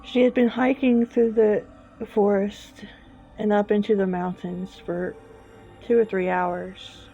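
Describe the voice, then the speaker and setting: A woman narrating a scripted horror story in a slow, hushed, suspenseful manner with long deliberate pauses. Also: medium pitch, little pitch movement. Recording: dull lo-fi, music bed behind. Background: background music.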